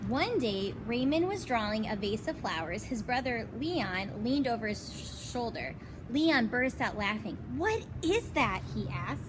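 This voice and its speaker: A woman with a high voice reads a children's storybook expressively. She breaks off for chatty asides and questions, like a teacher with her class. It is recorded outdoors in an alley, with very loud ambient noise around her. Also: a little slow, hardly any pauses. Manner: expressive, asks listeners questions. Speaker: elementary school teacher. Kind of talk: children's picture book read-aloud with chatty asides.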